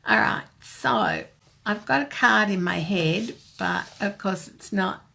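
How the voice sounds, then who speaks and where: A woman's voice: mid-range voice, slow pace, creaky, bright, forceful tone. An older woman with an Australian accent, hosting a rambling, chatty craft live stream and greeting viewers by name.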